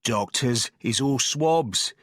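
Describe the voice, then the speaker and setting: A man voicing an old drunken sea captain, feeble but blustering, who wheedles and talks in rough sailor's slang. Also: mid-range voice, takes it slowly, a bit bright, dry recording, studio quality.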